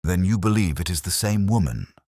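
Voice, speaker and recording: A man voicing an earnest Victorian gentleman, a detective's curious companion, politely questioning in a storybook. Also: sits low, animated, brisk, no echo, clean studio sound. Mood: inquiring.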